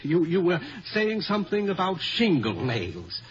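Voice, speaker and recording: A pompous, flustered older American gentleman in a vintage radio comedy. His fairly high voice swings widely as he stammers and hesitates, eager to please. The sound is that of a muffled old broadcast. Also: huge pitch swings, a crowd in the background, heavily acted. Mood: amused, impatient. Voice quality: halting, stammering.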